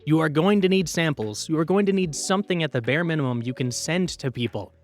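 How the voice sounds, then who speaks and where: A man's voice: on the high side, fast talker, faint music behind. A man presenting an instructional workshop in an informal, emphatic, conversational way.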